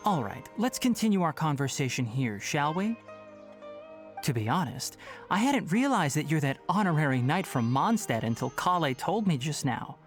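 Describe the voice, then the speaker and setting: An actor playing a calm, scholarly young male forest ranger in a video game, speaking in an articulate, measured way. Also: a little high. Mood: earnest, measured. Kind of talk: scripted video game dialogue. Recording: music behind the voice.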